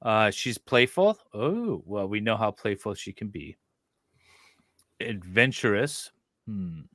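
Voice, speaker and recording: Sarcastic man chatting, bright, dry sound. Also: fairly low pitch, expressive, slow pace, dry recording. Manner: sarcastic, mocking asides. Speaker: host. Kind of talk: casual chat with read-out web page and commentary.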